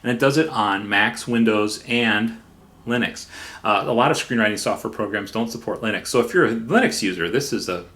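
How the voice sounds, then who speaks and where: A man hosting a software review in a casual, informal way, somewhat halting, with playful repetition for emphasis. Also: fairly low pitch. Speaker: software reviewer and video host.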